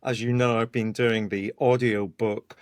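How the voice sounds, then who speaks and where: A man with a hoarse voice, co-hosting a podcast from his home study and talking in a thoughtful, rambling way. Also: medium pitch, little pitch movement, unhurried, no echo. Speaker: podcast co-host, criminologist. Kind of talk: casual podcast chat. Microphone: headphones and home microphone.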